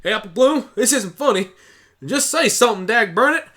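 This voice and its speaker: A man's voice: very high-pitched, expressive, normal speed, bright, forceful tone, voice pushed up, full character voice. A man voicing a stubborn, protective country mare pony with a folksy Southern US drawl, in an amateur audio drama. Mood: frantic, pleading. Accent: Southern US country drawl, put on. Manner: folksy, blunt, pleading.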